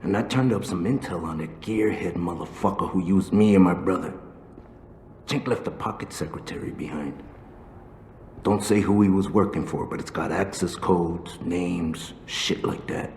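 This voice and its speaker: An actor playing a bitter, foul-mouthed street militant in a video game cutscene, menacing and grudging. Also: male voice, sits low, normal speed, a little mellow, reverberant, big-room sound, slight background noise. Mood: bitter, guarded.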